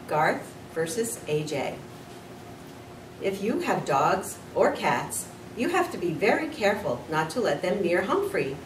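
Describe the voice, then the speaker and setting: A woman reading a children's storybook aloud expressively, as a school nurse would to pupils. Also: lowish voice. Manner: expressive, with small slips and corrections. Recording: roomy, distant mic with faint noise.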